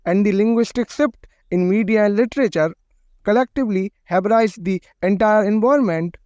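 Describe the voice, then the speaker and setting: Narrator: adult man, high-pitched and steady, measured scripted delivery, dry and slightly muffled recording. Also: dry recording.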